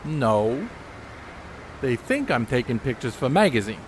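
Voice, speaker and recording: A man's voice: medium pitch, very animated, measured pace, slight background noise, low-quality recording. A man playing an educated, secretive ballplayer in a 1930s stage play, speaking in a precise, dry, condescending way. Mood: guarded, matter-of-fact. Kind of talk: amateur stage play acting, 1930s period dialogue.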